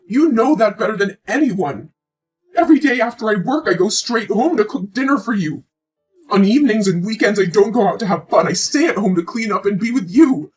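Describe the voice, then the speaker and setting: A woman's voice: mid-range voice, darkish tone, breathy edge, rough recording. A woman in her twenties playing a hurt, pleading young woman who has just learned she is pregnant, emotional and reproachful. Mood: indignant. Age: 20s. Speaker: pregnant girlfriend. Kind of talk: scripted relationship drama.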